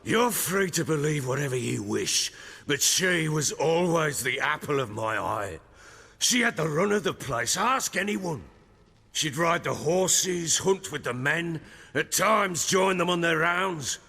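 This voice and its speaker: An actor playing a middle-aged lord in a video game, a proud, hard-drinking, volatile man with a rough voice and a coarse, brooding, theatrical manner. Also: fairly high pitch, animated, a little slow, bright, forceful tone, long reverb tail, full character voice. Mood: wistful, defensive. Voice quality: gravelly, rough.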